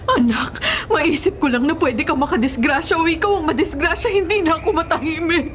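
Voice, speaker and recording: A woman's voice: extremely high pitch, a little fast, fairly bright tone, slight background noise. An actress playing a worried, loving middle-aged mother in a Filipino radio drama, tearful, pleading and melodramatic. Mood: anguished.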